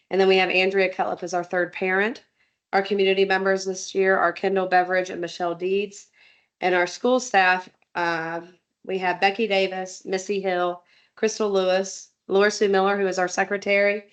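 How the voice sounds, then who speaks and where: Woman reading out a school report at a board meeting, flat even voice, smooth and clear, lots of ums. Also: medium pitch. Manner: steady, reads out names and lists, with frequent ums. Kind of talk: presenting a report from slides. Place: public meeting room.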